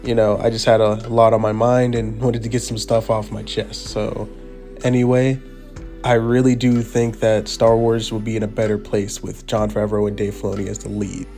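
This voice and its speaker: Hoarse, sick man pleading his opinion. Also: lowish voice, level tone, normal speed, mellow, faint music behind. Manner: pleading, repetitive emphasis. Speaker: YouTuber giving an opinion. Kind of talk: opinion commentary over a video.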